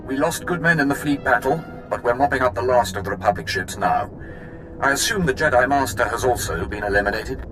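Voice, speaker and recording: A man's voice: medium pitch, animated, brisk, music in the background, natural voice, low-quality recording. Man as formal, deferential military officer. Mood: pleased, brisk. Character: deferential, brisk Imperial fleet officer man. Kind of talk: video game voice acting.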